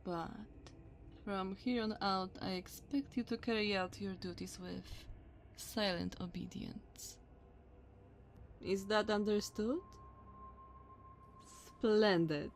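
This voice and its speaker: Woman doing a tall vampire noblewoman for asmr roleplay, really slow and drawn out, haughty, bossy, mocking, talks all formal. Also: mid-range voice, fairly even intonation, vocal fry, slight background noise. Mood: imperious, appraising. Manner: slow, condescending, theatrical formal diction.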